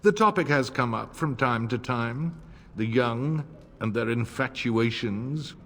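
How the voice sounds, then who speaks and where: An actor playing a smug, middle-aged nobleman and war veteran in a video game, formal and sardonic, with a husky voice. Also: medium pitch, measured pace, a bit bright. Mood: smooth, condescending. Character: older nobleman, old war comrade, smug, wry, insincerely courteous. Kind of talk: video game cutscene acting. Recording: long reverb, music underneath.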